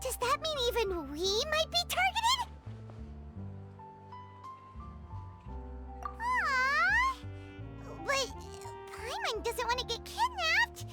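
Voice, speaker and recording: A woman voicing a tiny, childlike female fairy companion in a video game, cute, blunt and squeaky. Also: huge pitch swings, slow, full character voice. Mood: worried. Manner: speaks of herself in third person, blunt. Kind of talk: animated video game voice acting. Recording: loud music behind the voice.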